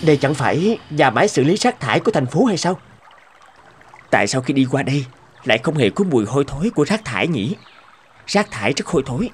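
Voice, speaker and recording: A man voicing an earnest, thoughtful young doctor in a dubbed educational cartoon, speaking expressively and deliberately. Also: medium pitch, breathy edge. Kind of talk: cartoon dubbing, educational storytelling. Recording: music bed under dubbed dialogue. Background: background music.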